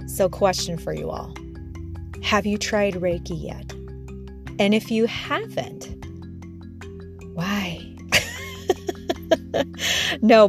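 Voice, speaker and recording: A woman hosting a spiritual podcast, bubbly and effusive, laughing often. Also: mid-range voice. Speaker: podcast host. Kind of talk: casual chat.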